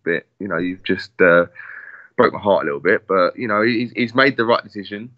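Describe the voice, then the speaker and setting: A man's voice: deep voice, fairly quick, dry recording, highs cut off. A man from England co-hosting a casual football podcast, rambling and restarting his sentences. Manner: rambling, full of fillers and restarts. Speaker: podcast co-host.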